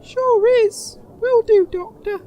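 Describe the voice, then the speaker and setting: A man's voice: very high-pitched, takes it slowly. A man voicing a polite, naive, childlike young male creature in a comic fantasy audio drama. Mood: grateful. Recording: loud outdoor ambience and noise behind.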